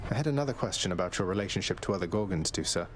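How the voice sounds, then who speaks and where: Low-voiced, wry young prince. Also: male voice, expressive, rapid-fire, faint music behind. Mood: casual, curious. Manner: dry, understated, hesitant. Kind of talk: dramatic video game voice acting.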